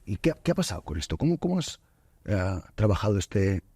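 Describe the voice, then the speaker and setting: A man from Spain hosting a podcast interview in a studio, talking in a relaxed, halting way with many fillers and restarts. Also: lowish voice, breathy edge, no room sound. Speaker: podcast host. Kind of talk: casual interview chat.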